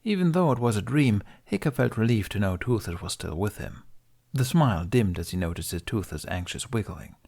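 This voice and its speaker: A man's voice: lowish voice, animated, fairly quick, gravelly, darkish tone, slightly rough. A man narrating an audiobook, reading scripted fiction as the storyteller.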